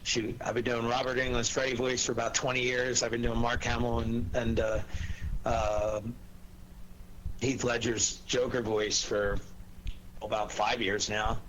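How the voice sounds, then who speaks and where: A man's voice: medium pitch, level tone, unhurried, fairly bright tone, poor audio. Chatty man with faint background noise. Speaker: voice actor and panel guest. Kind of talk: casual group chat.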